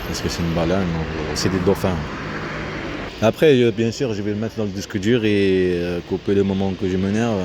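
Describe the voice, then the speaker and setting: A man giving a casual, rambling vlog commentary in a halting, repetitive way, often breaking off his own sentences. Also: low voice. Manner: halting, repetitive, self-interrupting. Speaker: vlogger. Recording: noisy outdoor recording with vehicle sounds.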